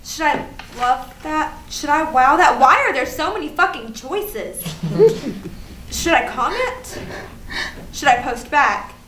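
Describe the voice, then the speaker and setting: A woman's voice: sits high, expressive, takes it slowly, a bit bright, exaggerated voice. A woman acting an indignant, hurt young woman obsessed with social media, in an emphatic staged reading. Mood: torn, fretting. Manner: emphatic, repetitive, swearing. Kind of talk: theatrical staged reading of a comic play. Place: live staged reading in an echoey room. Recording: echoey, noisy stage recording from far off.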